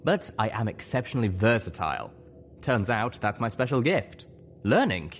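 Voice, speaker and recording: A modest young stallion healer, played by a man in a storybook. He is friendly and cheerful, with lively inflection. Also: fairly low pitch, normal speed, a little hiss or hum. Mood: bashful. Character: modest, friendly young male unicorn medic pony.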